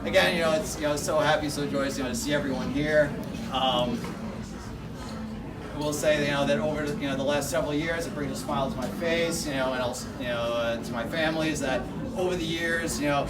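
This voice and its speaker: High-voiced man toasting in an echoey hall. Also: very noisy. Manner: rambling and joking, heavy with "you know" fillers. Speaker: best-man-style speaker, bride's older brother. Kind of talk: wedding rehearsal dinner toast. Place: rehearsal dinner hall. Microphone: handheld microphone. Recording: echoey room, voice from far off. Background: loud music and crowd.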